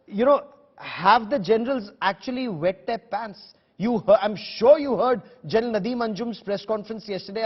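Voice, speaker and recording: A man's voice: high voice, breathy edge, dull audio. A man with an Indian accent hosting a live TV news debate, putting questions insistently and repeating himself for emphasis. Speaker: news show host.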